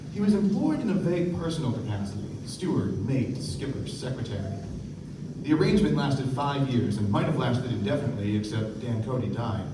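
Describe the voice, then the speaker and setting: A man's voice: mid-range voice, noisy background, lightly acted. A man acting a reflective young narrator who recalls wealthy friends in scripted literary prose for a live radio play. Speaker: actor narrating. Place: stage of a live performance. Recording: echoey stage from far, music behind.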